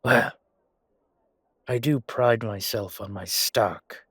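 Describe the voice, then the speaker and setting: A man acting a gruff, suspicious shopkeeper with a guarded, questioning manner in an amateur audio roleplay. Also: fairly low pitch, slow pace, fairly bright tone, dry recording, studio quality, exaggerated voice. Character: gruff, suspicious male merchant guarding his shady trade.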